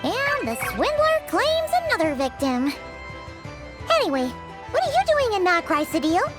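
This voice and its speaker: A woman voicing a plucky, bossy, cheerful small child with a brash, eager manner in an animated game. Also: very high voice, moderate pace, music in the background, exaggerated voice. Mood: wry.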